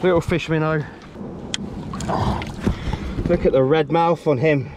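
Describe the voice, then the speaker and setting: A man excitedly commenting on his own catch while fishing from a shoreline, exclaiming and swearing as he goes. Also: on the high side, measured pace. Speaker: angler filming himself. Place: rocky seashore outdoors. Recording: wind and waves at a shoreline. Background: wind and sea ambience.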